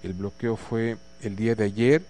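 A man's voice: fairly low pitch, steady tone, unhurried, warm tone. A man with a Mexican Spanish accent hosting a morning radio show, chatting casually, reading listener messages and news with many fillers.

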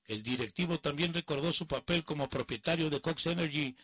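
Male news commentator, higher voice, husky edge, reads then mocks. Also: brisk, fairly bright tone, no room sound. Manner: mocking, opinionated asides between read passages. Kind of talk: reading news with casual commentary.

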